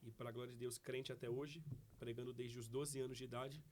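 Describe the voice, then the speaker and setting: Man, 20s, preacher guest, rapid earnest delivery, faint noise. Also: medium pitch, flat delivery, a little mellow. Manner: grateful, earnest, fast-talking. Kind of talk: personal testimony in conversation.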